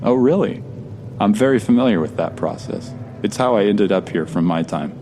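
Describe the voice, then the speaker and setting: A man's voice: on the low side, lively intonation, normal speed, dark tone, slight background noise. A man with an American accent playing an earnest, stranded time traveler in an audio drama. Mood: friendly, curious. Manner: conversational, earnest. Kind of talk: first-person audio drama acting.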